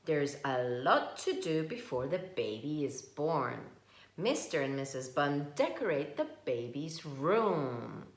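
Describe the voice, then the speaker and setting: A woman reading a picture book aloud to young children, slow and expressive, stopping to ask questions. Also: deep voice. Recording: echoey room.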